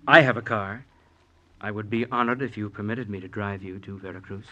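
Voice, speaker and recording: A man's voice: fairly low pitch, fairly even intonation, brisk, lo-fi sound. An actor playing an intense young male zealot, formal, courtly and fervent, in an old-time radio drama. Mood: courtly, pressing. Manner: fervent, formal, swelling from hushed to forceful.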